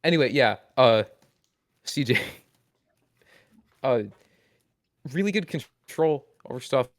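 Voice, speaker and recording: A man's voice: medium pitch, expressive, slow, dry recording. Jokey, slangy man, dry sound. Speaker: podcast co-host. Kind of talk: casual chat, bantering.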